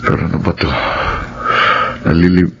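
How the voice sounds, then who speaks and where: A man's voice: deep voice, level tone, moderate pace, gravelly, lo-fi sound, a little hiss or hum. A Filipino man playing a restless, lecherous funeral worker in a radio horror drama. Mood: brooding, lustful. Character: restless lecherous male funeral worker craving dead women.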